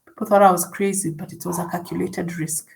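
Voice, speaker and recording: Gravelly woman giving advice. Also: fairly low pitch, flat delivery, brisk, a little mellow. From Kenya. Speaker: guest speaker, coach.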